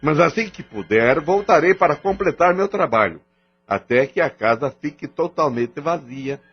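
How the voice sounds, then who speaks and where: Casting: male actor for arrogant, sly spirit chief in an audio drama, raspy edge, muffled sound with music behind. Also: a little high, lively intonation, normal speed. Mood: defiant. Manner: defiant, boastful, grudging. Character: proud, furious, cunning malevolent male spirit, gang chief. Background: background music.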